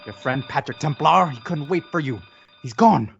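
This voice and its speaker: A man's voice: on the high side, animated, normal speed, vocal fry, a bit bright, soft background music. A man playing a gloomy, overworked prison warden in an old-time radio drama, blunt and world-weary. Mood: urgent. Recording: muffled, band-limited audio.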